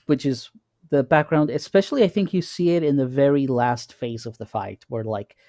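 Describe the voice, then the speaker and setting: A man co-hosting a podcast, speaking casually in a measured, explanatory way as he analyses and asks questions. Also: mid-range voice, warm tone, no room sound, studio quality. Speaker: podcast co-host.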